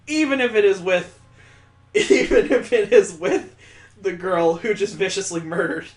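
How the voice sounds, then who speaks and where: A man hosting a let's play, reading a visual novel dramatically and adding incredulous, joking commentary. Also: high voice. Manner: incredulous, joking asides between earnest narration. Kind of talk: dramatic read-aloud with reactive commentary.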